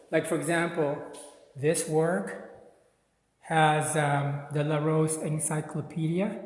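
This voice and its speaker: A man's voice: on the high side, husky, low-quality recording. Chatty man around sixty, raised voice. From Philippines. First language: Tagalog. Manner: chatty, discursive, with frequent um and uh. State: slightly stuffy from sinuses. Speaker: art channel host. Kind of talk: casual gallery walkthrough vlog. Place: city street outside, then indoors in a gallery.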